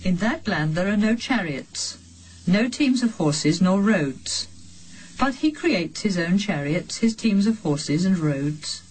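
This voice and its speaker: A woman reading a sacred text as a solemn audiobook, with measured, deliberate phrasing and pauses between phrases. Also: lowish voice, animated, a little mellow, breathy edge, low-quality recording. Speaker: reader of a sacred text translation.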